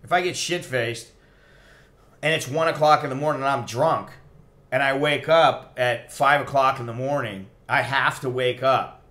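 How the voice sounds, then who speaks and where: A man's voice: medium pitch, fairly even intonation, normal speed, pushed and bright. Blunt, tipsy middle-aged American man. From United States. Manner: blunt, profane, opinionated. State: tipsy after a few drinks. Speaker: expat vlogger. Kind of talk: casual rambling livestream chat.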